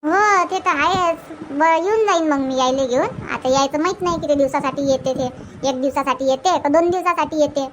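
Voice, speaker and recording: A woman's voice: high voice, fairly quick, noisy background, natural voice. A woman voicing a young, fretful village housewife in a cartoon, chatty and rustic, with a Varhadi Marathi accent. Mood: hesitant, homely. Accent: Varhadi Marathi, Vidarbha. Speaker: cartoon character voice. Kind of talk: homely cartoon comedy dialogue.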